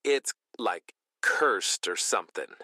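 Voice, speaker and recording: A man's voice: fairly low pitch, expressive, takes it slowly, bright, forceful tone, husky, no echo, studio quality, full character voice. An actor playing a teenage boy, an arcade regular, giving a slangy, offhand interview about a creepy rumor. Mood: ominous, offhand. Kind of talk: interview in an audio drama.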